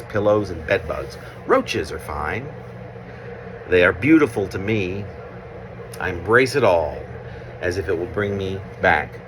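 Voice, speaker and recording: A man reading his own autobiographical poem aloud as a poet, phrasing deliberately with pauses mid-sentence, in a noisy recording. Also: lowish voice.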